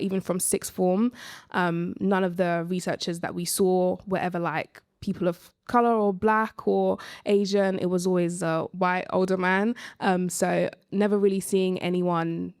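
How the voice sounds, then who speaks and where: A young woman speaking informally and reflectively as a panellist, pausing hesitantly as she shares her experience. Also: on the low side, steady tone, clean tone, dry recording, studio quality. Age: young adult. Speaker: panellist, early-career researcher. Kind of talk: live panel discussion, personal account.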